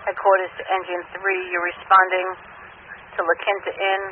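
A man's voice: high voice, completely flat delivery, moderate pace. A man working as a fire dispatcher, making announcements over a two-way radio. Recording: noisy narrow-band fire radio transmission.

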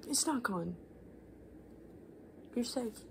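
A woman in her twenties doing soft-spoken, rambling ASMR, unscripted and self-deprecating, sometimes slipping towards a whisper. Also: a little high, lively intonation, extremely slow, vocal fry. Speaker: ASMR video creator. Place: small living room at home, indoors. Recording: faint room noise on home recording.

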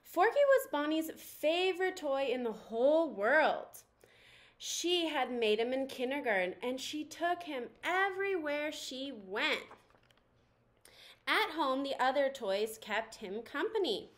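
A young woman reading a picture book aloud to children in an expressive, animated storytelling manner. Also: high-pitched, takes it slowly. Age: young adult.

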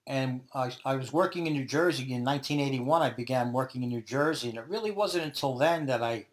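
A middle-aged man from Brooklyn with a Brooklyn New York accent, answering interview questions thoughtfully, pausing and restarting mid-sentence. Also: mid-range voice.

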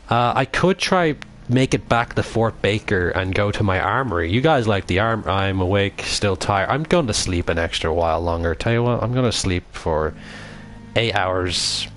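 Irish guy, deepish voice, casual live-stream commentary, faint hiss behind. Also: fairly bright tone. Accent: Irish, Dublin. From Ireland. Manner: rambling, swears often, reads chat aloud. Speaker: livestreaming gamer.

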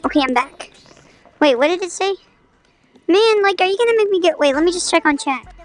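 A game streamer with a high-pitched voice-changer effect, chatting in a goofy, exclamatory way on a livestream. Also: expressive, brisk, soft background music.

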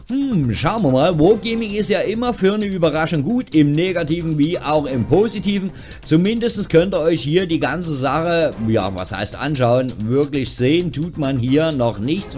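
A man with a slightly raised voice hosts a gaming news show. He talks in a chatty, informal way with wry asides. Soft music plays behind him. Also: on the high side. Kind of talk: casual, tongue-in-cheek news commentary. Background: background music.

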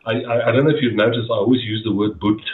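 A man's voice: lowish voice, flat delivery, racing. A middle-aged man with an Afrikaans accent, speaking as a guest in a casual interview over a video call, rambling and often repeating his words. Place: dim home room. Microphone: headset on a video call. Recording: echoey tinny video-call audio.

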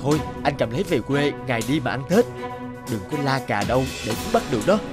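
Folktale outlaw male actor: bold, honest, plain-spoken, mid-pitched with lively melody. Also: fast, over loud music, close to an everyday voice. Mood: firm, cautioning.

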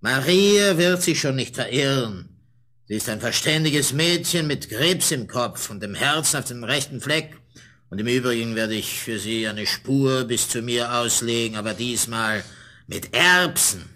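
A fairy-tale woodcutter and head of the family. He is confident and emphatic, grumbling in a hard, bright voice of middling pitch. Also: lively intonation, a little slow. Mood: reassuring. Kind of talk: radio fairy-tale play acting.

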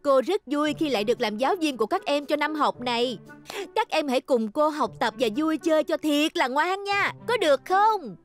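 A woman's voice: high voice, animated, normal speed, faint music behind. A woman playing a kindly, cheerful schoolteacher in a cartoon dub, addressing children in an encouraging, instructive way.

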